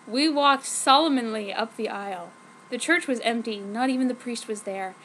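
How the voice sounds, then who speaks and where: High-voiced woman reading theatrically. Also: moderate pace, a bit bright. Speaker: narrator. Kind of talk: mock-dramatic fan fiction reading. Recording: clearly noisy home recording.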